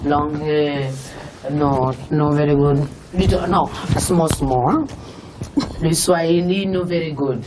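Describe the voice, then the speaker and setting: Une chanteuse de gospel originaire du Katanga, invitée d'une interview, qui parle de façon hésitante avec beaucoup d'hésitations. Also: female voice, low-pitched, slow, crowd noise, low-quality recording. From Katanga, DR Congo. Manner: hesitant, many fillers, laughs often. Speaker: gospel singer, guest. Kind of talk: casual interview chat, switching languages.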